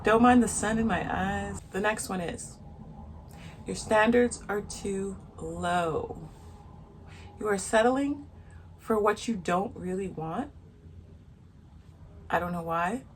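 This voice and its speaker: A woman with a soft voice giving her frank opinions in a casual vlog, talking outdoors in the sun and repeating her points for emphasis. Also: medium pitch, takes it slowly, pauses often, slight background noise. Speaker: vlogger.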